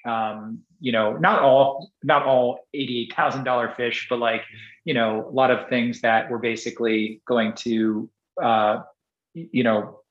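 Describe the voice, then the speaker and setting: A man's voice: medium pitch, echoey room, dull audio. A man who grew up in Singapore, speaking as a guest on a podcast interview, rambling with many restarts and fillers. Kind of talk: casual interview conversation.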